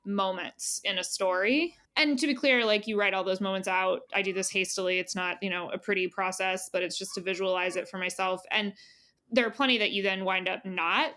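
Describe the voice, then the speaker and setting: Woman editor chatting on a podcast, mid pitch, thinks out loud as she goes. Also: a little fast. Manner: thinking aloud, hedging with "you know" and "like". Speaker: magazine editor, interview guest. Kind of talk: casual podcast interview conversation.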